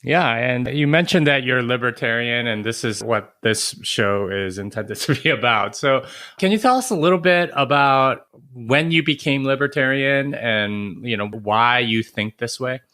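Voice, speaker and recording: Bright-voiced man hosting a podcast interview. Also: mid-range voice, measured pace. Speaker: podcast host. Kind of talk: casual interview conversation.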